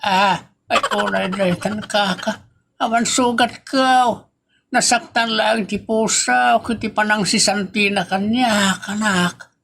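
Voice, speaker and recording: A man's voice: high-pitched, fairly even intonation, slow, gravelly, a bit bright, a little hiss or hum, exaggerated voice. A man playing an elderly, complaining working father with an Ilocano accent in a comedy drama.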